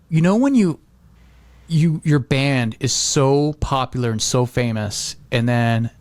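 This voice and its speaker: A man's voice: mid-range voice, darkish tone, no room sound. A middle-aged American man chatting casually as a podcast host, rambling and leaning on "like" as he acts out little quotes. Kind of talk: casual chat.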